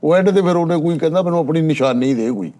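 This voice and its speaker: A man's voice: a little high, very fast, dark tone. A man with a Pakistani Punjabi accent telling tales casually as a panellist, insistent and boastful. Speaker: talk show panellist. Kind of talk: casual storytelling.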